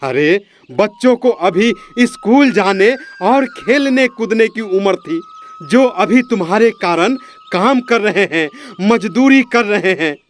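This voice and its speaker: A man's voice: sits high, animated, slow, a little hiss or hum. A man voicing a stern holy man in a Hindi moral cartoon, lecturing emphatically with repeated admonishments. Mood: scolding, admonishing.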